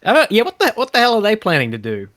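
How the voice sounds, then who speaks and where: A man's voice: sits high, wildly swooping pitch, quick pace, fairly bright tone, no room sound, studio quality. A man co-hosting a livestream, trading jokes and quips while watching a show. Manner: joking, quips and mock lines. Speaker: streamer co-host. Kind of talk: casual watch-along chat.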